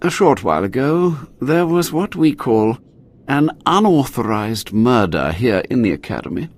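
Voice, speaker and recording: A man's voice: medium pitch, big pitch swings, normal speed. An actor playing a haughty, scheming Sith inquisitor in a video game, theatrical and condescending, drawing out his words. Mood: haughty, commanding. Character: haughty, scheming male Sith inquisitor, self-preserving overseer of trials.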